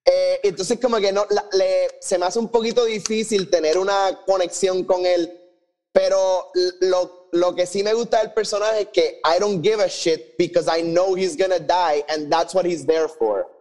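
A man's voice: high voice, steady tone, a little slow, darkish tone. A man with a Puerto Rican accent co-hosting a casual review chat, swearing freely and switching between Spanish and English. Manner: salty, swearing, mixes English phrases into Spanish. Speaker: podcast host.

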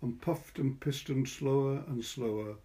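A Welsh man with a soft, dark-toned voice of middling pitch. He speaks as a curator and reader, moving through explanation and literary prose in a relaxed way. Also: unhurried. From Wales. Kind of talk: informal introduction and literary story reading.

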